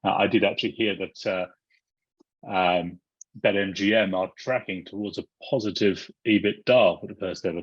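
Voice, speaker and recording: A man's voice: low voice, breathy edge, no room sound. A man from the UK, speaking as a panelist on an online panel with wry remarks and frequent restarts. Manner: wry, thinking aloud with many restarts. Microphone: video call. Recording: muffled dry video call audio.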